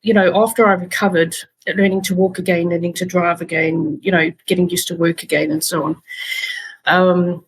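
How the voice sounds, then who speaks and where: South african woman guest on a podcast, kinda husky lowish voice, earnest, lots of ums. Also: little pitch movement, moderate pace, low-quality recording. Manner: earnest, many fillers and restarts. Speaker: guest, patient advocate. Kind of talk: casual interview talk.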